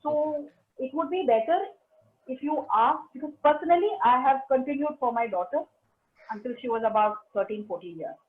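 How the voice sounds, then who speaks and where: A woman's voice: high-pitched, expressive, fairly quick. A woman with an Indian English accent giving firm, direct advice to parents on a group call. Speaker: parent support group speaker. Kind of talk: answering questions on a call. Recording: tinny phone-like call audio.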